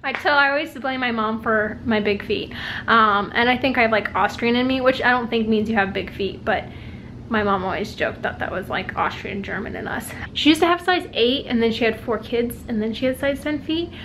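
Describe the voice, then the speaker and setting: Young adult woman, casual vlogger, slightly high voice, rambling and upbeat, faint background hum. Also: a little fast, a bit bright. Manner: chatty, upbeat, rambling with many likes. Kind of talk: casual vlog chat. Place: at home and out and about. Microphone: handheld compact camera.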